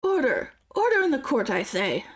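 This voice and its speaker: A woman's voice: sits high, sing-song, normal speed. A woman voicing a stern elderly male judge, reading courtroom game dialogue aloud in a formal, commanding manner. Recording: music behind. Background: game music.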